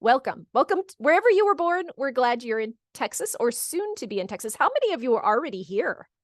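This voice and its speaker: A woman's voice: high-pitched, sing-song, quick pace, no room sound, clean studio sound. A middle-aged woman from California chatting casually as a webinar host, encouraging people and repeating answers back. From California, United States. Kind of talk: casual moderated chat, reading out audience comments. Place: online video call.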